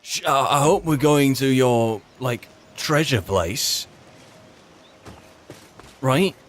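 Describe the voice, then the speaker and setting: A man's voice: medium pitch, animated, slow pace. A man giving casual role-play commentary as he plays, musing and muttering asides to himself. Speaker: gamer playing in character. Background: game music.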